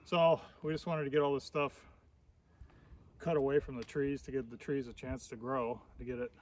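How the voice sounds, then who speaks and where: A man hosting a casual walking tour of his tree farm outdoors, talking in a relaxed, rambling way with many fillers. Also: mid-range voice, moderate pace. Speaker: tree farm owner and vlog host. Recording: distant outdoor camera audio with noise.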